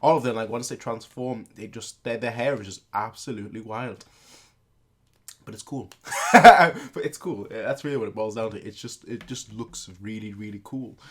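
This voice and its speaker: A man's voice: on the low side, normal speed. Rambling young man chatting casually. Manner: rambling, heavy use of filler words and restarts. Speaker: reaction video host.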